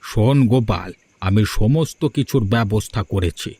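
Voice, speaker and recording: A man's voice: lowish voice, moderate pace, dark tone, breathy edge, no echo. A man voicing a sorrowful, dutiful older village father in a cartoon fairy tale. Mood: grave, resigned. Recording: music under the voice.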